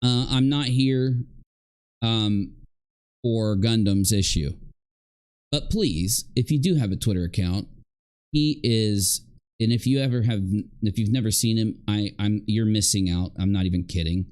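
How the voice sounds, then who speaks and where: A man's voice: on the low side, a little slow, a little mellow. A man hosting a livestream, ranting casually in an emphatic, repetitive and accusatory way. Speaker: livestream host and video creator. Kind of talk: casual rambling livestream rant.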